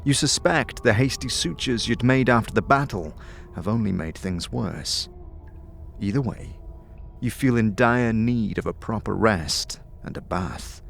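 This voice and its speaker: Low-voiced man narrating fantasy. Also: lively intonation, gravelly, soft background music. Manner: measured, dramatic storytelling. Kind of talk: scripted second-person fantasy narration.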